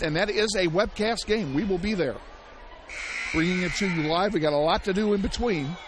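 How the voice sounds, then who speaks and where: Hoarse high-voiced basketball announcer, man. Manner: excitable, shouts big plays, chatty asides. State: losing his voice, hoarse. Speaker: play-by-play announcer. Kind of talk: live basketball play-by-play. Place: high school gym broadcast. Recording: loud gym crowd and noise behind.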